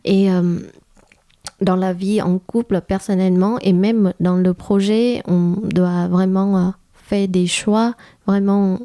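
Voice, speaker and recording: A woman's voice: medium pitch, steady tone, takes it slowly, mellow, dry recording, clean studio sound. A woman from Vietnam speaking in an interview, hesitant and searching for words. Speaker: interview guest, restaurant co-founder. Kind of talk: casual interview talk.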